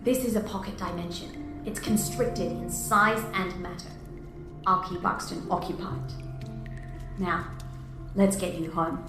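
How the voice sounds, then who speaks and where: An actress playing a calm, enigmatic celestial being in an audio drama, speaking in a measured, cryptic, assured way. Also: female voice, on the low side. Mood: purposeful. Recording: echoey, with music underneath. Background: music.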